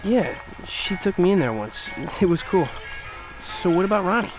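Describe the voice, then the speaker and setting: An actor in a radio drama playing a plain-spoken young friend, casual and full of blunt questions. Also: male voice, high voice, sing-song, normal speed, music in the background, poor audio. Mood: curious, relaxed.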